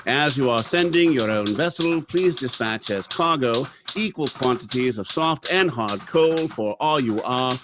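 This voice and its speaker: A man's voice: mid-range voice, noisy background, close to an everyday voice. A man acting a courteous, businesslike factory general manager in a 1930s-style radio drama, speaking formally and politely. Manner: formal, brisk dictation and polite address.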